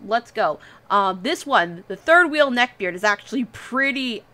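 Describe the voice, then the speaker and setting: A woman's voice: fairly high pitch, lively intonation, unhurried, bright, forceful tone. A woman livestreamer chatting casually while she draws, rambling and self-deprecating, with casual swearing. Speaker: livestream host.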